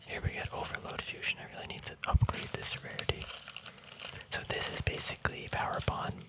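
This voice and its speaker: Whispering man, relaxed ASMR. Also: brisk. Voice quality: soft unvoiced whisper. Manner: relaxed, informal, chatty. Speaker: ASMR video host. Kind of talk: whispered ASMR, casual card deck walkthrough.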